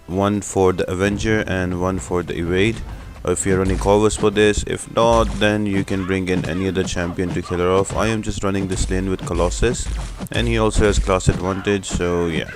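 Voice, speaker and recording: A man's voice: low-pitched, steady tone, moderate pace, music in the background. A man giving a casual, explanatory gameplay walkthrough as the host of a video game guide. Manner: explanatory, instructional.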